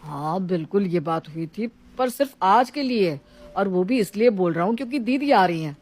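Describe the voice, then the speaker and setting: A woman's voice: medium pitch, lively intonation, normal speed, a little mellow, close to an everyday voice. A woman voicing a reasonable, mildly exasperated young husband in a story, reasoning and reproaching. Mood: firm.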